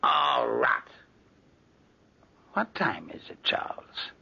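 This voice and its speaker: An actor playing an irritable, fussy old uncle who grumbles and hesitates, in 1940s radio drama style. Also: male voice, medium pitch, wildly swooping pitch, very slow, creaky, bright, forceful tone, husky, heavily acted. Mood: irritable, weary. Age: older. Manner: grumbling, hesitant, easily annoyed. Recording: dull vintage 1940s radio recording.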